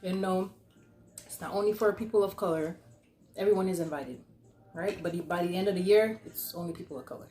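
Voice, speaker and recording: A woman's voice: fairly low pitch, a little fast, dark tone. A young woman telling a casual, easygoing story as a vlog host while eating. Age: young adult. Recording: roomy echo, some background noise.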